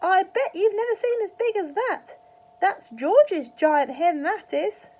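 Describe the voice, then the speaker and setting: A woman voicing a bossy old grandmother who shouts in a shrill, crackly voice. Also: animated, takes it slowly, heavily acted. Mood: boastful.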